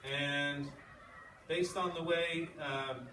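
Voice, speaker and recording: A male schoolteacher with a slightly high voice, talking informally to his class as he reads and discusses. He is some way from the mic in an echoey classroom with clear background noise. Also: fairly even intonation, very slow, bright, forceful tone, recorded from a distance. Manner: informal, checking with listeners. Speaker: sixth-grade English teacher. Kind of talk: classroom read-aloud and discussion.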